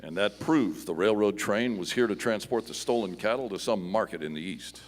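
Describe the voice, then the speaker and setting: A man playing a calm, authoritative Western lawman hero with an American accent and formal delivery. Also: fairly low pitch, lightly acted. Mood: assured, explanatory. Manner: measured, formal, explanatory. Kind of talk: Western radio play acting. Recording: noisy live stage hall, long reverb.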